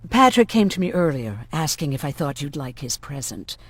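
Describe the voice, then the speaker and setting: Blunt, low-voiced doctor, swooping delivery. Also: female voice, big pitch swings, moderate pace, a bit bright, dry recording, full character voice. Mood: wary. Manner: blunt, sardonic. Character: hardened, sardonic female doctor and camp survivor. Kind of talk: game voice acting, dramatic dialogue.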